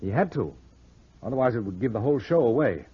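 An American actor playing a cool, sardonic private detective in 1940s radio drama, clipped and wry, with a husky voice. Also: male voice, medium pitch, animated, fast. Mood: explaining, accusing. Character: cool, sardonic male private detective cornering murder suspects. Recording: dull lo-fi old radio recording.

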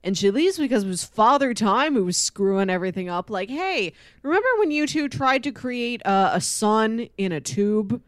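A woman's voice: fairly high pitch, lively intonation, normal speed, hardly any pauses, no echo. A woman co-hosting a podcast, casually discussing comics in a playful way, often acting out paraphrased dialogue. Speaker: podcast co-host.

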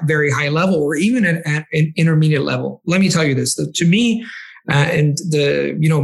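Man with a higher voice. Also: creaky. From Italy. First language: Italian. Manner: rambling, many restarts and fillers. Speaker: language coach, guest. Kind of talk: interview answers.

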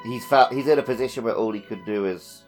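A man's voice: medium pitch, quick pace, over music. A man co-hosting a podcast, talking casually about a video game. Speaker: podcast co-host.

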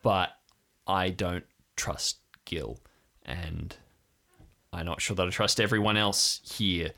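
A man playing an earnest, wary young woman from a compound in an improvised audio drama, speaking in a hesitant, deliberate way. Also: low-pitched, vocal fry, bright, forceful tone, heavily acted.

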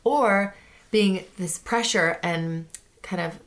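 A woman's voice: on the low side, slow pace, a bit bright. A woman in her thirties co-hosting a casual talk show, speaking thoughtfully and reflectively. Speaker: podcast co-host. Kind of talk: casual conversational chat.

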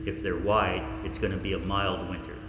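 An actor playing a male researcher narrating strange events in a 1940s radio play, in a folksy, measured storytelling way. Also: sits low, flat delivery, close to an everyday voice. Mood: musing. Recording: echoey hall with background noise.

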